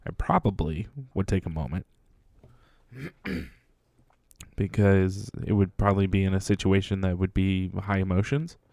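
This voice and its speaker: Mellow low-voiced man, joking podcast co-host. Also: steady tone, unhurried, creaky, long pauses, no echo, clean studio sound. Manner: self-deprecating, joking, thoughtful. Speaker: podcast co-host, Air Force veteran. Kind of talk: casual chat.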